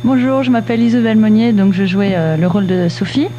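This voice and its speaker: A woman from the Paris region speaking hesitantly as a guest, with many pauses and fillers. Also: mid-range voice, warm tone, highs cut off, a little hiss or hum. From Paris region, France. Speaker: actor in a course drama, guest.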